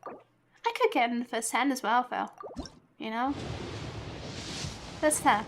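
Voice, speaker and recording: Slow, high-pitched woman streamer, excitable and chatty. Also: creaky, slight background noise. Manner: excitable, giggly, chatty, half talking to herself. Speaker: game streamer. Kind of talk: casual livestream chat while playing a video game.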